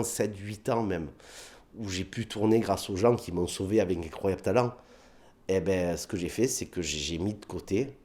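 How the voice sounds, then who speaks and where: A man with a southern French accent, a comedian telling anecdotes in a casual interview, acting out bits of dialogue. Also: deep voice. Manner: animated anecdotes with put-on dialogue, many fillers.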